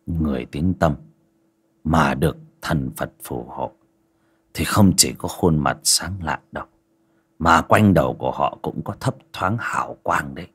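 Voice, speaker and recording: A man voicing a gaunt older fortune-teller who speaks in a hushed, sighing way. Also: very deep voice, a little slow, slightly rough, voice pushed down, exaggerated voice. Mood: stern. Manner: hushed, half-whispered, sighing.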